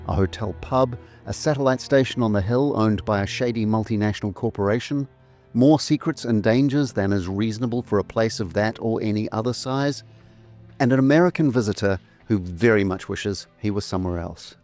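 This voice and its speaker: Middle aged guy doing scripted trailer narration, lowish voice, faint music under him. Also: brisk, darkish tone. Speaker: presenter and remastering producer.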